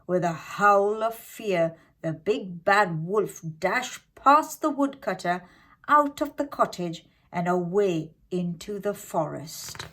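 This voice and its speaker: Slow, animated woman storyteller. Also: mid-range voice. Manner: expressive, deliberate, dramatic. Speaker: children's storyteller. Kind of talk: scripted fairytale narration.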